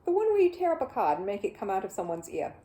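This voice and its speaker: Woman as a kindly, courteous storybook gentleman. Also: mid-range voice, huge pitch swings, fast talker, roomy sound. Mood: amused. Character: kindly, courteous gentleman shopkeeper, friend of the bear. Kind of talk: children's storybook character reading.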